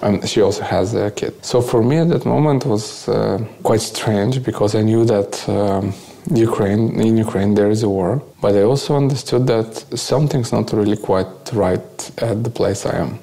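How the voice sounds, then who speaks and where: Low, creaky Bulgarian-accented man, halting. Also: dark tone. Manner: halting, searching for words in non-native English. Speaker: interview guest, volunteer activist. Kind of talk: conversational live talk, recounting experiences.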